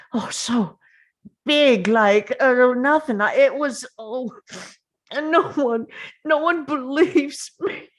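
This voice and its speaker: A woman's voice: high voice, pitched above the performer's own voice, heavily acted. A woman playing a desperate, heartsick man, injured and in shock, stammering through broken phrases at a table read.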